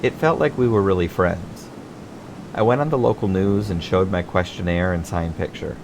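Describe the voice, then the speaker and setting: A man's voice: sits low, moderate pace, background noise. A middle-aged American man from Michigan reading his own memoir as scripted audiobook narration. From Michigan, United States.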